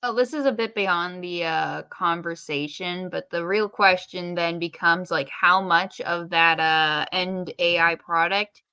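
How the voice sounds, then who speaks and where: Female podcast co-host, slightly low but bright voice, questioning, conversational, thinking aloud. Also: steady tone, measured pace, few pauses, clear, resonant tone, dry recording, highs cut off. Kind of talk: casual podcast discussion.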